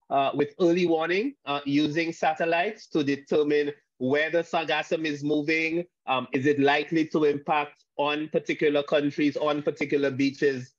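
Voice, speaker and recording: A man from Saint Lucia gives a panel talk over a video call, halting, with frequent restarts and fillers. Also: fairly high pitch, no room sound. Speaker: guest speaker. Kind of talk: online panel presentation. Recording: dull lo-fi video call audio.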